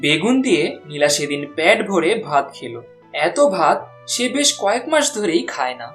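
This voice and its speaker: A woman's voice: deep voice, very animated, normal speed. A woman reading scripted fairy-tale narration as a storyteller. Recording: background music under the voice.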